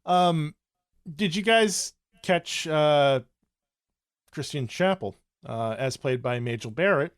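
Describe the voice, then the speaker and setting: A man's voice: on the high side, animated, takes it slowly, clean tone, no echo, clean studio sound. A man co-hosting a podcast, explaining things casually in a matter-of-fact, knowledgeable way. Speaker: podcast co-host.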